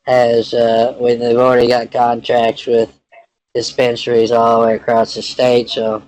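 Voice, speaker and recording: A man acts out a young Texan ranch hand with a very monotone delivery. He is laid-back and folksy, rambling through his phone conversation in halting phrases at a middle pitch. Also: no room sound, close to an everyday voice, poor audio. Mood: easygoing, rambling. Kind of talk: improvised prank phone call in character.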